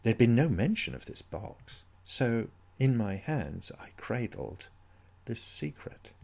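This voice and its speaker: A man with a gravelly, husky voice narrating an eerie first-person story in a brooding, measured, suspenseful way, like a dramatic audiobook. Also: mid-range voice, sing-song, a little mellow.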